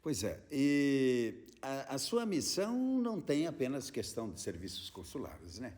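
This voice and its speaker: A man's voice: medium pitch, sing-song, unhurried, darkish tone. A man with a Brazilian accent, putting conversational questions as an interviewer.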